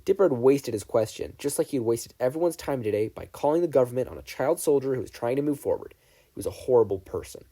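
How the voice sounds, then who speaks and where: A man narrating fan fiction from a script in an expressive storytelling style. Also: mid-range voice, quick pace. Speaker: podfic narrator.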